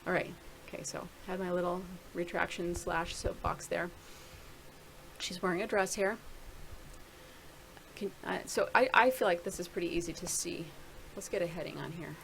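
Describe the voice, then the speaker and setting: A woman's voice: fairly low pitch, fairly even intonation, moderate pace, creaky, fairly bright tone, slight background noise, low-quality recording. A middle-aged woman casually talking through a screen-share video blog, rambling and thinking aloud with many restarts. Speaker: video blogger.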